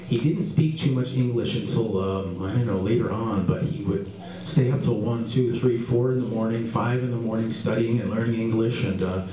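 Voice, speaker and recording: Man in a booming echoey hall. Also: highs cut off, noisy background. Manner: halting, many fillers. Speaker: event host introducing speaker. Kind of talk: casual introduction. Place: large dinner hall. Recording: very echoey hall, distant mic, crowd behind.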